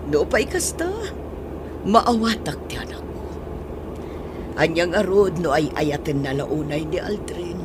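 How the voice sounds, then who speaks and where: An actress playing a soft-hearted, worried middle-aged mother in an audio drama, with a Filipino accent. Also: low-pitched, unhurried, long pauses, noisy background. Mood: worried, pleading. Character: soft-hearted, worried mother defending her grown daughter.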